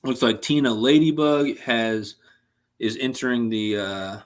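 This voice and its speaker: A man's voice: medium pitch, unhurried. Young guy on a fishing livestream, voice getting a bit worn out, just chatting with chat. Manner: chatty, reads out and answers chat messages. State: losing his voice a little. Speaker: livestream host.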